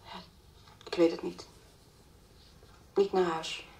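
An actress playing a subdued, worn-out mother with a creaky, breathy voice in a radio drama. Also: on the low side, little pitch movement, takes it slowly, a little mellow, recorded from a distance. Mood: subdued, lost. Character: subdued, worn-out mother under police questioning.

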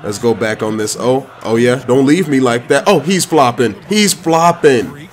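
A man giving excited, slangy commentary on his own video game play, full of playful trash talk. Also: medium pitch, measured pace.

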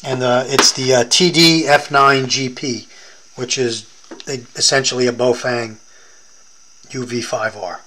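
A man's voice: medium pitch, steady tone, measured pace, bright, forceful tone. An American man demonstrating a product in a casual hands-on tutorial, explaining informally step by step.

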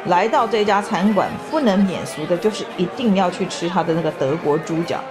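A middle-aged woman, describing food and sights in a measured, enthusiastic travel voice-over. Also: lowish voice, over music, a crowd in the background. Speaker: travel vlog co-host. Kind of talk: casual travel commentary voice-over.

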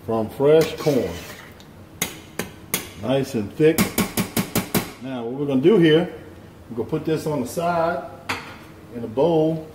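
A man's voice: medium pitch, dark tone. A man hosting a home cooking show, talking the viewer through each step in an easygoing, folksy way at his kitchen stove. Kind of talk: casual cooking demonstration. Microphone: camera over the stove, hands in frame. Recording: echoey kitchen, faint pan noise.

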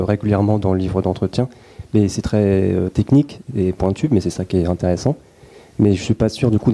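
A man speaks up from the audience with a careful, polite question. He sounds a little unsure. His voice is low-pitched and very dark in tone. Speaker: audience member.

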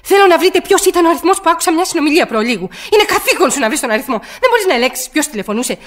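High-pitched, frantic, imperious woman caller. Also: big pitch swings, a bit bright, exaggerated voice. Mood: impatient, angry. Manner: agitated, stammering, insistent. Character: anxious, demanding woman caller, panicky and imperious. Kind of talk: radio play acting.

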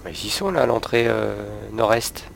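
A man with a blocked-up cold voice, low and gravelly, speaking loudly and bluntly to his teammates. Faint game noise sits behind him. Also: a little slow. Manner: loud, blunt, swears casually. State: stuffy, has a cold. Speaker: player. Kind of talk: gaming voice chat.

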